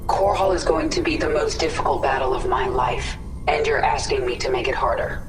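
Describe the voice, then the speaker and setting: A woman's voice: a bass voice, brisk, over music. Echoing, commanding swarm queen. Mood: conflicted, resolute. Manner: grave, authoritative. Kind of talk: dramatic video game acting.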